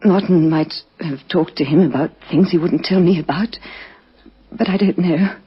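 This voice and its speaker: Breathy, faltering grieving widow. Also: female voice, fairly low pitch, normal speed. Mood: hesitant, subdued. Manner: hesitant, faltering, hushed at times. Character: grieving recent widow, shaken and halting, adult. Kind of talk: radio crime drama acting. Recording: dull lo-fi vintage radio recording.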